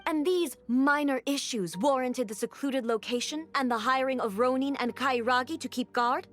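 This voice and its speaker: A woman's voice: high-pitched, normal speed, close to an everyday voice. A woman voicing a stern, imperious female ruler in a video game, speaking in a cold, clipped, authoritative way. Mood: cold, commanding.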